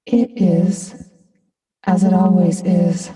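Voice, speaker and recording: A woman playing a spirit of nature in a staged play reading, warning humans in solemn, measured phrases, ominous yet loving. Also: lowish voice, little pitch movement, recorded from a distance. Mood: ominous calm. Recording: echoey hall reverb, loud music.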